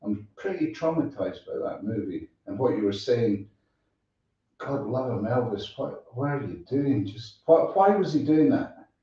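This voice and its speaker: A man's voice: medium pitch, animated, a little slow, dark tone. A man hosting a casual interview, informal and hesitant in his phrasing. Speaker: interviewer and show host. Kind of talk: casual chat. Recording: very echoey, distant, dull sound.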